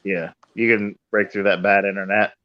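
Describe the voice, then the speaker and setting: Male podcast panelist, deep and flat in delivery, halting and casual, over dull lo-fi online audio. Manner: hesitant, halting delivery with searching pauses. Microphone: online call. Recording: dull, lo-fi internet call audio.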